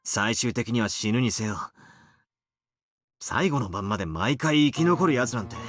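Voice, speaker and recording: An actor playing a brash young amateur detective in a visual novel, speaking in a rough, casual, dramatic way. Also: male voice, medium pitch, expressive, fairly quick, a bit bright, dry recording, studio quality, soft background music. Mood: brooding, reasoning. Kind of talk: Japanese visual novel voice acting.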